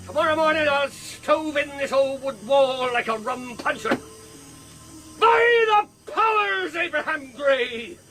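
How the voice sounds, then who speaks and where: An actor playing a sly, menacing pirate leader in a radio drama, wheedling and then threatening. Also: male voice, very high voice, sharp tone, exaggerated voice, rough recording. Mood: furious threats. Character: sly, menacing pirate leader with a one-time cook's past.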